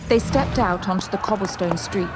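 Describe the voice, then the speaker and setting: A woman's voice: medium pitch, moderate pace, loud music behind the voice. A woman narrator reading scripted, descriptive narration for an audio drama.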